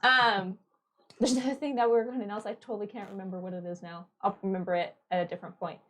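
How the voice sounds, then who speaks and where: A woman hosting a livestream with a high voice, chatting in a bubbly, rambling, giggly way. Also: fairly even intonation, fast talker, a bit bright, slight background noise. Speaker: stream host. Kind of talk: casual livestream chat.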